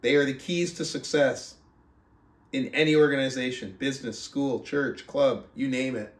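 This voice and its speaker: A man who speaks as a motivational book club host, reading aloud and coaching in an emphatic, deliberate, rhetorical manner. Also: mid-range voice, fairly even intonation. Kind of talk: audiobook-style reading aloud and motivational talk. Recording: roomy, echoing sound.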